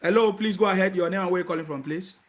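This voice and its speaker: A man's voice: high voice, very fast. A man hosting a phone-in talk show, speaking emphatically. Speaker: call-in show host.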